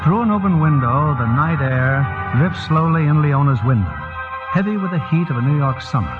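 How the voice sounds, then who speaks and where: A man's voice: on the high side, expressive, brisk, over music. An American man hosting and narrating in a scripted 1940s radio announcer style. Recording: muffled old radio broadcast.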